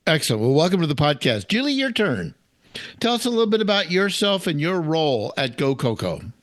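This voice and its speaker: Animated, high-pitched male podcast host. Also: fairly quick, no room sound, clean studio sound. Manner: enthusiastic, emphatic delivery. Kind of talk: upbeat scripted podcast narration and hosting.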